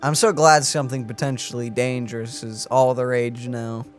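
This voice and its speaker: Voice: mid-range voice, little pitch movement, moderate pace. A gaming streamer giving casual reaction commentary with a sarcastic, dry manner. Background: game music.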